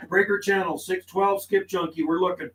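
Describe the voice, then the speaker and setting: A middle-aged man with a Canadian accent and a notably high-pitched voice talks as a CB radio operator. He is friendly and laid-back, slipping between loose chat and channel calls. Noticeable radio noise sits behind his voice. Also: fairly even intonation, moderate pace. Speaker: livestream host and CB radio operator. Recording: clear background noise, radio ambience. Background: CB radio noise and ambience.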